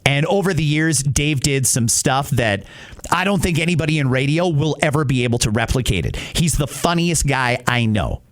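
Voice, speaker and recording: A man's voice: medium pitch, expressive, normal speed. A middle-aged man, a radio-trained podcast host talking casually and directly to listeners in short conversational phrases.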